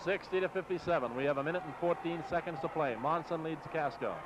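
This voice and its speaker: A man's voice: on the high side, quick pace, noisy background. An American man calling live basketball play-by-play as a radio announcer in clipped, running phrases on a 1960s AM broadcast. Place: courtside in a large exposition hall.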